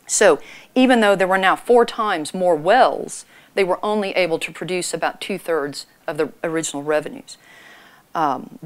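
A middle-aged woman financial analyst giving a prepared talk in an indoor meeting room, explaining figures in a measured way. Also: lowish voice. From North Texas.

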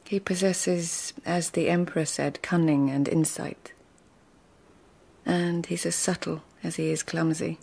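An actress playing a witty, composed and devoted court lady in a radio drama, speaking in a hushed, polite, restrained manner. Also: on the low side, flat delivery, a little slow. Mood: polite, discreet. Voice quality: husky, hushed. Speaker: actor.

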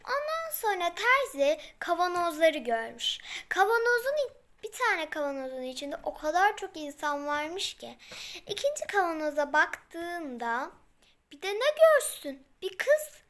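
A young girl improvising a fairy tale, haltingly, repeating herself and correcting her words as she goes. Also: very high voice, big pitch swings, a bit bright. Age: child. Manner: halting, repetitive phrasing with self-corrections.

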